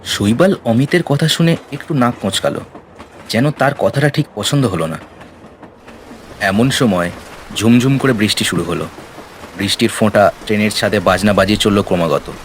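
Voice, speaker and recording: A man's voice: on the low side, measured pace, long pauses, a little hiss or hum. A man reading a scripted horror story as narrator, in storytelling style. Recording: rain and ambience behind the voice. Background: rain sound effects.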